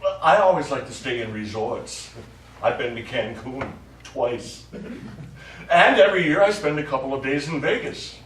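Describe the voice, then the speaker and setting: An actor playing a smug, lecherous, none-too-bright lawyer in a live stage comedy, with an eager, suggestive manner. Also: male voice, mid-range voice, expressive, moderate pace, distant mic, natural voice. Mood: flirty, boastful. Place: small theatre stage, distant microphone. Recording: echoey, noisy stage recording from afar.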